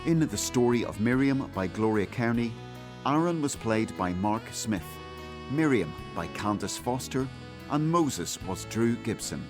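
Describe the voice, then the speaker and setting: A man's voice: mid-range voice, expressive, measured pace. A man reading scripted closing credits as a radio drama announcer. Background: acoustic guitar music.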